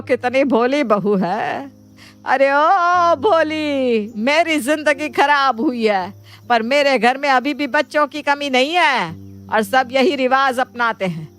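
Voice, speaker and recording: A woman's voice: a little high, a bit bright. A woman voicing an emphatic, theatrical middle-aged Indian mother-in-law in a Hindi cartoon moral story. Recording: music bed behind the voice.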